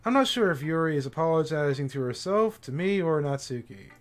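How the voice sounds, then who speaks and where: A man's voice: on the high side, expressive, brisk. A male streamer reading a visual novel's narration aloud in a casual, amused way.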